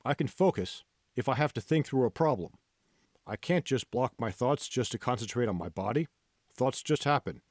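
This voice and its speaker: A man's voice: mid-range voice, animated, normal speed, a little mellow, dry recording, studio quality, lightly acted. Man voicing a reluctant, anxious gym novice. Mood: defensive. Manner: hesitant, complaining.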